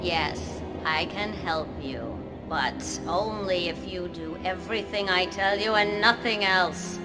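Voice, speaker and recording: Bitter outcast witchy woman for a video game, slow n commanding, loud music behind her. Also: lowish voice. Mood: stern, commanding. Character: bitter banished witch-like woman, imperious and weary. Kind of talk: dramatic video game cutscene acting.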